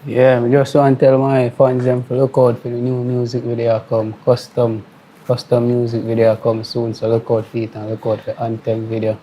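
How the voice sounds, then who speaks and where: Male dancehall guest, Jamaican accent, very flat dark delivery, noisy ambience. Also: mid-range voice, normal speed, muffled recording. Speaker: dancehall artist, interview guest. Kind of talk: casual interview answers.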